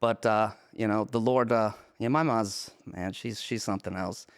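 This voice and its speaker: A man's voice: on the low side, moderate pace, vocal fry, clear, resonant tone. A man born in Romania and raised in the United States, speaking as a podcast guest, casually telling personal stories and repeating phrases for emphasis. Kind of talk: casual testimony, personal storytelling.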